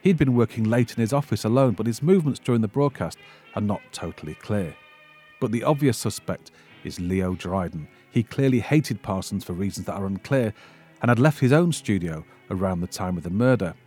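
Low, slightly husky male narrator. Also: animated, fairly quick, dark tone, faint music behind. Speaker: narrator presenting a film summary. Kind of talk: scripted narration recapping an old mystery film.

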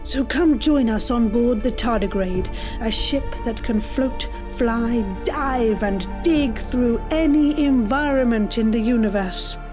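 Woman playing a polite sceptical female crewmate, unhurried delivery, fairly high voice, podcast drama, music behind. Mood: grand, dramatic. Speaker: podcast host. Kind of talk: scripted audio drama and trailer narration.

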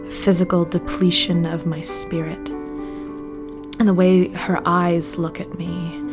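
An actress playing a bitter, haunted young 1950s wife reciting her diary in a brooding, deliberate audio drama monologue. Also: lowish voice. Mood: cold loathing. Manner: brooding, deliberate, with heavy pauses.

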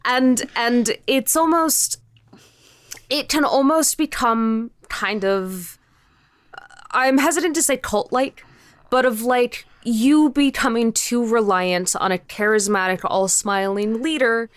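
A woman giving casual, thoughtful interview answers as a guest, haltingly and often rephrasing mid-sentence. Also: fairly high pitch, dry recording. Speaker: interview guest, indie audio drama creator.

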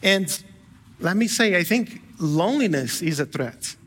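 A man from Brazil delivers a sermon in a church, his voice pitched a little high and sounding bright and forceful. He talks in a loose, conversational way, restarting phrases and slipping in small jokes. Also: animated, unhurried. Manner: conversational with light humor and frequent self-corrections. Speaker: guest pastor. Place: church sanctuary with a live congregation.

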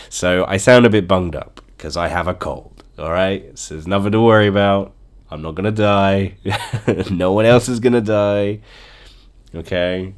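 Guy with a cold, bunged up nose, low voice, joking around on his podcast. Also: measured pace. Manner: chatty and joking, chuckles often. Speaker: podcast host. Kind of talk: casual solo podcast chat.

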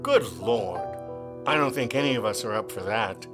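A man's voice: mid-range voice, expressive, moderate pace, natural voice. An actor playing a theatrical, skeptical male stage performer in a radio play. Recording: faint music behind the voice.

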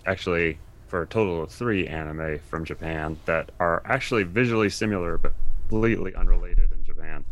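A man's voice: sits low, a bit bright. A middle-aged man, chatting casually and explaining things as an expert guest.

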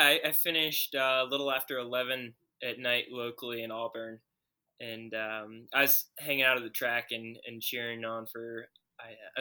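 A man, a guest runner, answering in an even, measured way with plenty of fillers. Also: mid-range voice. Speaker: guest ultrarunner. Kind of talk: casual interview chat.

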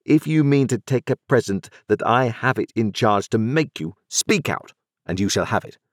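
A man's voice: mid-range voice, animated, normal speed, no room sound, studio quality, voice pushed up, lightly acted. A man voicing a stern, curt middle-aged lawyer who gives commands.

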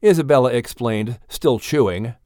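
A man's voice: mid-range voice, normal speed, darkish tone, no echo, studio quality. A man reading a children's story aloud as a scripted audiobook narrator.

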